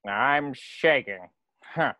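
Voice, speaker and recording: A man's voice: mid-range voice, expressive, drawn-out words, bright, forceful tone, heavily acted. A man playing a snide, haughty squid cashier in a cartoon table reading, sarcastic and needling. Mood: bored, snide.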